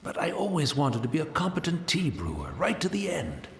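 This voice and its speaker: A man's voice: on the high side, huge pitch swings, brisk, creaky, soft background music, exaggerated voice. An actor playing an older man whose eyes have failed, a reflective and wistful tea brewer, in a game. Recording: roomy echo with long reverb.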